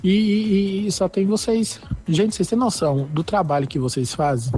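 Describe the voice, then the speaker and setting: A man from sends a voice message to a radio show. His voice sits a little high but sounds mellow. He tells his story in a chatty, confiding, expressive way. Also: normal speed. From Brazil. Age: 20s. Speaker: listener sending a voice message. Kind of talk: recorded voice message.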